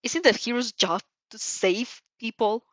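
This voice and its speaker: A woman's voice: fairly high pitch, measured pace, dry recording, voice pushed up. Woman as an indignant, heated teenage boy. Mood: indignant rage. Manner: heated, insistent. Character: indignant, intense teenage boy hero student.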